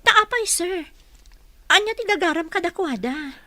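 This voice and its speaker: A woman's voice: extremely high pitch, expressive, moderate pace, sharp tone, no echo, heavily acted. A woman with a Filipino accent playing a polite, flustered young provincial employee, hesitant and deferential, in an Ilocano radio drama. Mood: flustered, surprised.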